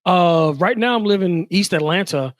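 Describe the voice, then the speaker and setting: A man's voice: high-pitched, normal speed, no echo, clean studio sound. A man from the Bronx, a guest on a casual podcast, who talks in a chatty way with lots of "you know" and "uh". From the Bronx, New York. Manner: chatty, fills his talk with "you know" and "uh".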